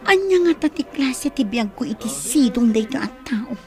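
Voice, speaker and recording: An actress playing a reluctant, downcast young bride with an Ilocano accent in a Filipino radio drama. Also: female voice, fairly high pitch, big pitch swings, moderate pace, faint music behind. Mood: miserable.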